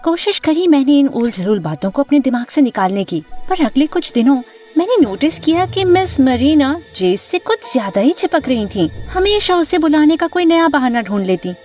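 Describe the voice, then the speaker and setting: High, expressive young female student for animated story; imaginative, lovestruck, easily flustered, music underneath. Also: moderate pace. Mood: uneasy. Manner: expressive, confiding, dramatic. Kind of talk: animated first-person story narration and dialogue.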